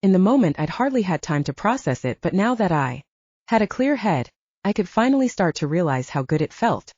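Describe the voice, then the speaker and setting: Mellow woman narrating fiction, dull recording. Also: on the low side, very animated, fairly quick, dry recording. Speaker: audiobook narrator. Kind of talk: scripted first-person fiction narration.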